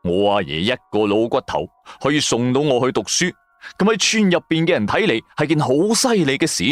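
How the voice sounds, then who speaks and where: Animated male storyteller, Hong Kong Cantonese accent. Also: medium pitch, a little fast, dry recording. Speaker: radio drama narrator. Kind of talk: first-person dramatic story narration. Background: music.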